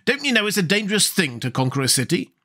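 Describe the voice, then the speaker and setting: A man's voice: sits high, very animated, fast talker, sharp tone, voice pushed up, heavily acted. A man voicing a pleasant, easygoing fat gatekeeper who is easily astonished, in a storybook. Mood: astonished, dismissive. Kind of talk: storybook character voice.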